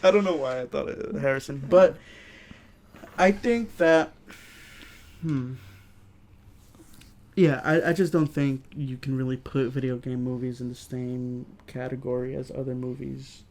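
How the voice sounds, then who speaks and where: A man co-hosting a casual film review chat, speaking haltingly as he thinks aloud. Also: medium pitch, fairly even intonation, darkish tone. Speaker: podcast co-host reviewing films.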